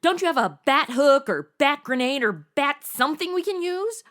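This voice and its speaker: Actress as a high-pitched, sassy young female sidekick. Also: sing-song, moderate pace, fairly bright tone. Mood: exasperated, sassy. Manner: modern slangy speech, exasperated. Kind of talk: comedy audio drama acting.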